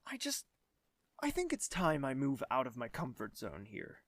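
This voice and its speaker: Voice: medium pitch, wildly swooping pitch, moderate pace, dry recording. An actor playing a young prince in an audio drama, refined, distracted and hesitant, musing aloud. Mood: earnest, musing. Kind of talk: scripted audio drama acting.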